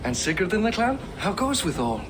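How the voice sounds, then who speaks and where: A man's voice: sits high, big pitch swings, a little fast, noisy background. An actor playing a boisterous, proud middle-aged Viking king in a video game cinematic, hearty and boastful as he declaims. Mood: genial.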